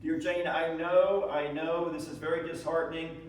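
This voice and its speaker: A heavily reverberant, high-voiced man performing as a homesick soldier of the Civil War. He reads his letters home aloud with earnest, theatrical emphasis, tender yet aggrieved, heard at some distance from the microphone. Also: flat delivery, normal speed, natural voice. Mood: remorseful, troubled. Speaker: reader at a historical presentation. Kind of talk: dramatic reading of historical letters. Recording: echoey room, distant microphone.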